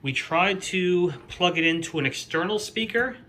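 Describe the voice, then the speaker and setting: A man's voice: sits high, expressive, normal speed, bright, forceful tone, a little hiss or hum. A man hosting his own reseller vlog, showing items in a casual, unscripted, plain-spoken and matter-of-fact way. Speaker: flea market reseller hosting own show. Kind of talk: casual unscripted product show-and-tell.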